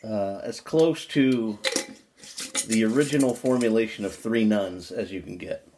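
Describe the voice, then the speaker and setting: A man speaks in a somewhat low voice with an informal, folksy manner. He takes his time, as in a homemade video where someone chats casually and reads a book aloud. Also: unhurried, background noise. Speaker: pipe-smoking video creator reading a book aloud. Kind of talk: casual chat, then reading a book aloud.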